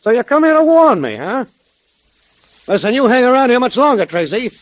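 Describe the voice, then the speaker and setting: A man's voice: very high voice, big pitch swings, brisk, no echo, low-quality recording. An actor playing a bullying, blustering gang boss with slangy tough-guy talk in a 1930s radio drama. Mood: menacing. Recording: vintage 1930s radio recording.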